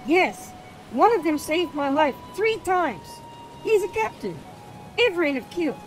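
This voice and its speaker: A man doing an eager high-voiced young boy. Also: big pitch swings, measured pace, faint music behind, full character voice, poor audio. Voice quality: high and light. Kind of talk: audiobook character voice.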